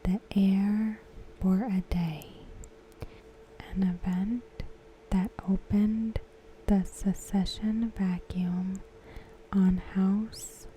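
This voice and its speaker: Woman doing asmr reading, breathy whisper, super slow w long pauses, some noise in the background. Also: medium pitch, steady tone, rough recording. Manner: slow, hushed, short phrases with long pauses. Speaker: ASMR host. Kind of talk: ASMR, softly reading a recap aloud.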